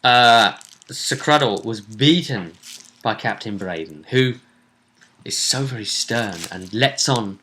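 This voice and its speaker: A man casually recapping a tabletop role-playing tournament as its host, telling it in halting bursts with dramatic repetition. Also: mid-range voice, pushed and bright, a little hiss or hum. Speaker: host and game master.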